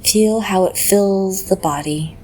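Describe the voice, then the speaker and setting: A woman leading a guided meditation in a gentle, measured way, leaving long pauses between phrases. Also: medium pitch, slight background noise, rough recording.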